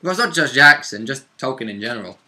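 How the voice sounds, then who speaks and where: A man of middling pitch speaks with a very bright, sharp edge to his voice. He chats casually as a player and co-host, recapping a game session. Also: expressive, fast talker.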